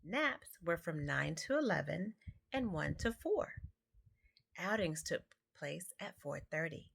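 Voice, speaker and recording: A woman's voice: low-pitched, lively intonation, slow pace, gravelly. A woman reading a children's picture book aloud, stumbling now and then over words. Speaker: amateur storybook reader.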